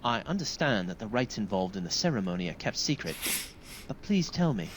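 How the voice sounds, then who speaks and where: An actor playing an earnest, courteous young knight in a fantasy video game, speaking formally and sincerely. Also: male voice, lowish voice, sing-song, fairly quick, background noise. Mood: earnest, hopeful. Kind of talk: video game dialogue acting.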